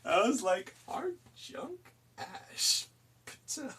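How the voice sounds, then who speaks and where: Giggly, husky young man chatting. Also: sits high, sing-song, takes it slowly, sharp tone. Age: 20s. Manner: giggly, reads chat messages aloud. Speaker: livestream host. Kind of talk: casual chat with chat while painting.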